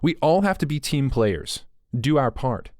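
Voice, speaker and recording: Male narrator doing chirpy nervous young woman, hurried, placating, higher voice, dry. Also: lively intonation, a little mellow, no echo, natural voice. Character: nervous young woman, eager to smooth things over.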